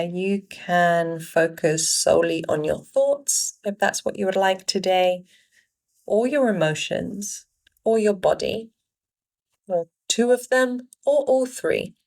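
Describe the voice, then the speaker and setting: Female meditation guide, mid-range voice, very slow delivery, gentle instruction with frequent pauses. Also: mid-range voice, creaky. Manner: unhurried, pausing between short phrases.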